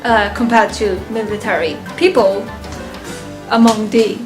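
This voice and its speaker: A woman giving a casual, unscripted fan review of a ranked list, halting and thinking aloud, often chuckling. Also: mid-range voice, steady tone, over music.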